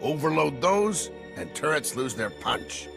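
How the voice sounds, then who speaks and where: An actor playing a gruff, world-weary security chief in a video game, giving terse, folksy briefings. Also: male voice, fairly high pitch, big pitch swings, unhurried, music in the background, heavily acted. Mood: wry, matter-of-fact.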